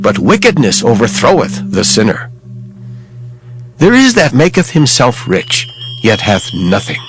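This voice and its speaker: A man giving a dramatized scripture reading in a measured, declamatory way. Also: mid-range voice, wildly swooping pitch, a little hiss or hum. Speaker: scripture reader. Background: background music.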